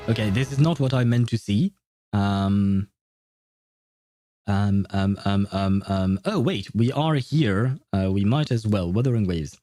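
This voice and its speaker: A man's voice: fairly low pitch, expressive, measured pace, dark tone, no room sound, soft background music. A man chatting casually as a game livestream host, rambling and opinionated. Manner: rambling, opinionated, often chuckling. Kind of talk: casual gaming livestream chat.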